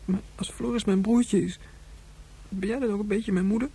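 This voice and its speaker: A woman's voice: medium pitch, steady tone, moderate pace, dark tone, breathy edge. A woman playing an earnest, hesitant, vulnerable young boy in a science fiction radio drama. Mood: timid, pleading.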